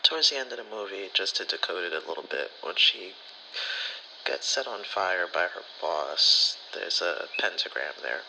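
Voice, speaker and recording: A man's voice: low voice, lively intonation, normal speed, bright, forceful tone, slight background noise, poor audio. A man in a casual ASMR storytelling style, rambling and slangy, with profanity and acted-out bits of dialogue. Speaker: spiritual content creator.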